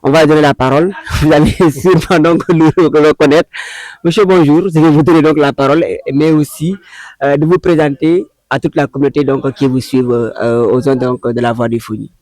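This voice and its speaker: A man hosting an interview for local media. He speaks formally, often pausing on "euh" and repeating "donc". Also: fairly high pitch, fairly even intonation, a little mellow, no room sound, rough recording.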